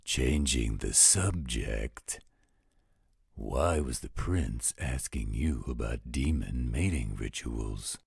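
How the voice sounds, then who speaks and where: A man playing a gruff, grumbling, overprotective werewolf guardian with a gravelly, husky voice in a roleplay audio drama. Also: rumbling low, animated, dry recording, studio quality, exaggerated voice. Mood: protective, disgruntled. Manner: slow, grumbling, disapproving.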